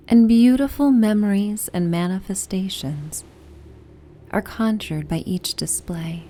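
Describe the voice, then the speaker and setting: A woman narrating a soothing sleep meditation and bedtime story in a gentle, soft, half-whispered, breathy voice. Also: fairly low pitch. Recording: steady ocean and wind ambience noise. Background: ocean and wind ambience.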